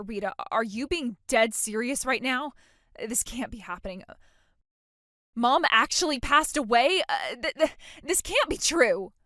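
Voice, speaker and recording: A woman's voice: fairly high pitch, sharp tone, no room sound, clean studio sound. A woman playing a self-centered young bride, whiny, oblivious and entitled, in a dramatized story. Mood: stunned, accusing. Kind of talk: dramatized phone-call story dialogue.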